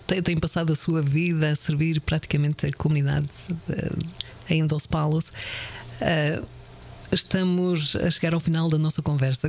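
A woman's voice: deep voice, darkish tone. Formal woman radio host. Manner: formal, courteous. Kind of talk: radio interview. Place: radio studio.

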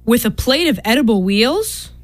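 Woman acting a high, animated, prim bartender. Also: normal speed, no room sound, close to an everyday voice. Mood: prim, concerned. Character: concerned, prim bartender who officiates weddings. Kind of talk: comic radio play acting.

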